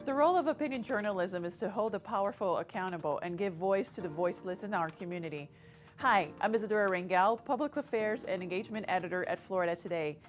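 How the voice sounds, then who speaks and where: A woman with a Brazilian Portuguese accent delivers a scripted promotional spot. Her voice sits at a middle pitch, and she reads earnestly and steadily. Faint music plays behind her. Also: quick pace. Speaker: newspaper editor.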